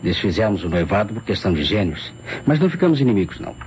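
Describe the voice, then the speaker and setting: Low-voiced, defensive lawyer. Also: male voice, sing-song, fast, darkish tone. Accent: Brazilian. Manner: formal, explanatory. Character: defensive male lawyer, the wife's former fiancé. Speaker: actor in a radio mystery serial. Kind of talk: old Brazilian radio drama acting. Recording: muffled, noisy old radio recording.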